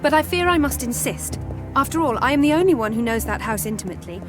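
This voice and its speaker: Woman as a high-voiced, determined maid. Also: animated, quick pace, music in the background, lightly acted. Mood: insistent. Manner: formal, earnest, insistent. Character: determined, proper young female household servant, insistent. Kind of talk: period audio drama.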